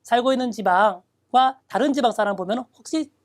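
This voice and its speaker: A woman lecturing on exam reading questions, reading Japanese phrases slowly and then explaining them step by step. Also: on the high side. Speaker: Japanese reading test instructor.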